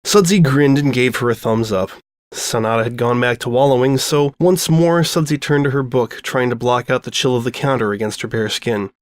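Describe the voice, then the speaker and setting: A man reading a scripted story aloud as an expressive storyteller. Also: mid-range voice, normal speed, no echo, studio quality.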